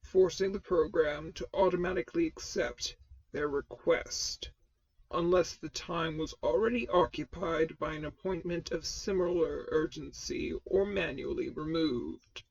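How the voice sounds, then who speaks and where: A woman voicing a menacing, formal armored male warlord in an audio fan fiction, with a gravelly, vocoder-filtered growl. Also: deep voice, measured pace, darkish tone, no echo, muffled recording, pitched below the performer's own voice, full character voice. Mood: sarcastic anger. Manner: formal, clipped, sarcastic. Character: menacing, stern armored male Sith lord, protective mentor.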